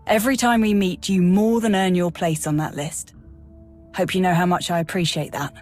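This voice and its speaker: An actress playing a loyal, frank Imperial major in a video game, speaking earnestly with military formality. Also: female voice, on the low side, fairly even intonation, normal speed, a little mellow, soft background music, close to an everyday voice. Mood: admiring, grateful. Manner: earnest, crisp military address. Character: dutiful, sincere female Imperial army major, loyal and frank. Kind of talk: dramatic video game acting.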